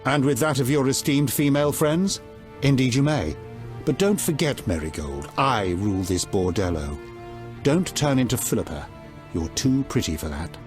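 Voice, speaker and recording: An actor playing a gruff, foul-mouthed, domineering middle-aged king in a video game, with a blunt, earthy authority. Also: mid-range voice, expressive, moderate pace, darkish tone, soft background music, lightly acted. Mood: wry authority.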